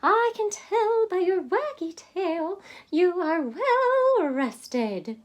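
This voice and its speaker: A woman voicing a cheerful little yellow female bird teacher, chirpy and sing-song. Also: very high-pitched, very animated, drawn-out words, voice pushed up, exaggerated voice. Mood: cheery.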